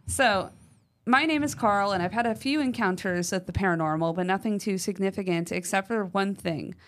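A woman co-hosting a paranormal podcast, chatting casually and reading a listener's letter aloud with lively emphasis, using fillers like "like" and "um". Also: mid-range voice, moderate pace. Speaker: podcast co-host.

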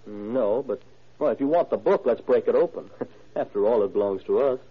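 An actor playing a murdered man's young son, halting, plainspoken and slightly uneasy, in a 1940s radio drama. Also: mid-range voice, breathy edge, lightly acted. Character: hesitant, cooperative young son of a murdered man. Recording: narrow, muffled old radio recording.

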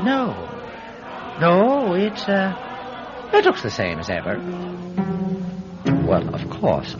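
An actor playing a chatty, scheming Irish villager who tells his tale in a folksy, blustering way in a radio drama. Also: medium pitch. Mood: flustered, evasive. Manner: animated, folksy, stammering when flustered. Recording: dull, noisy radio-drama recording.

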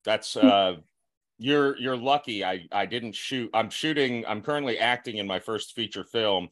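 A man's voice: fairly low pitch, unhurried, fairly bright tone. A man from Florida hosting a podcast, chatting casually in a joking, self-deprecating way and laughing often. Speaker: podcast host. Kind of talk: casual interview chat.

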